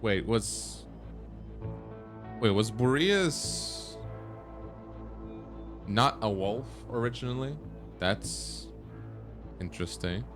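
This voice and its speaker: A man's voice: medium pitch, lively intonation, extremely slow, pauses often, over music. A man livestreaming a video game, giving casual commentary in short, offhand reactions. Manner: muttered reactions, short fragments. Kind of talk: casual live gameplay commentary.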